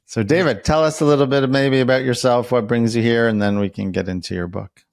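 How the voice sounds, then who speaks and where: A man's voice: mid-range voice, fairly even intonation, brisk, gravelly, a little mellow, dry recording. A man hosting a casual conversational interview, asking reflective, informal questions as he thinks them through. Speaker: interviewer, podcast host.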